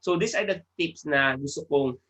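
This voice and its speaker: A woman host from the Philippines, mixing English and Tagalog in a slow, measured way. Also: low-pitched, fairly bright tone. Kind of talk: casual interview hosting.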